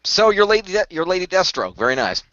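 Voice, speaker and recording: Excitable man, quick talker, stumbles over words, bright lively voice, dull lo-fi sound. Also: sits high, no echo. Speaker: cast member. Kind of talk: casual group chat.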